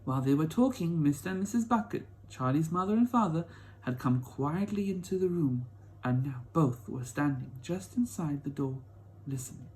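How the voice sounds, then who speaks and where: A man's voice: on the high side, animated, moderate pace, a little mellow, low-quality recording. A man reading a children's story aloud as the storyteller, in a narrating style.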